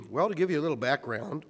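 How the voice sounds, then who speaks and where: A man with a hoarse, croaky voice reading a prepared history lecture to an audience in formal, wordy phrasing, often clearing his throat. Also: a little high. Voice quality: croaky, recovering voice. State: hoarse, getting over voice loss. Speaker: speaker giving a history presentation. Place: large echoing room with a presentation screen. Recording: reverberant hall sound.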